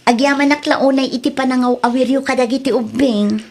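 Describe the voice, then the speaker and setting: A woman's voice: high voice, fairly even intonation, a little fast, slight background noise. A woman with an Ilocano accent acting a practical, affectionate young wife and mother in an audio drama. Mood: caring, assured.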